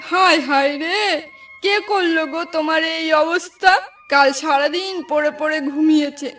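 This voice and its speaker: Woman as a high-pitched worried village wife. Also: steady tone, normal speed, a bit bright. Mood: grieving. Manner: anxious, plaintive. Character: worried village wife, anxious sister-in-law. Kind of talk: cartoon voice acting. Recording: slightly distant, music behind.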